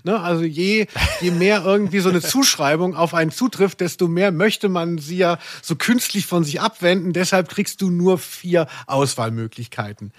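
A man's voice: high voice, moderate pace, hardly any pauses, no echo, clean studio sound. A man hosting a chatty podcast and running a quiz in a playful, tongue-in-cheek way. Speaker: podcast host and quizmaster. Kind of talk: casual chatty podcast talk.

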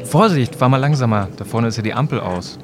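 A man's voice: mid-range voice, expressive, a little fast, background noise, natural voice. A man playing a curious, easily amazed time-traveling companion in an amateur audio drama, speaking casually and a little hesitantly. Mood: alarmed. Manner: casual, questioning, slightly hesitant.

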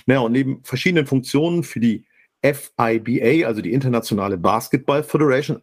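A man's voice: medium pitch, husky, no echo, clean studio sound. A man hosting a sports podcast, a little stuffy-nosed, with friendly formal introductions. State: stuffy nose. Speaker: podcast host. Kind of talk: casual podcast talk. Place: home studio, remote call.

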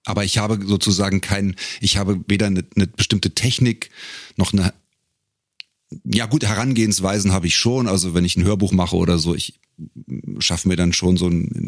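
A middle-aged man with a very low, creaky voice, recorded close and dry. He answers in casual interview talk, feeling his way through broken-off phrases. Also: no room sound. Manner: hesitant, thinking aloud, broken-off phrases. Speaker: podcast guest, professional voice actor.

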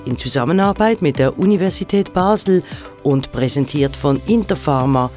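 A man with a fairly high voice gives a podcast's scripted opening announcement. His delivery is smooth and practiced. Music plays clearly behind him. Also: expressive, moderate pace.

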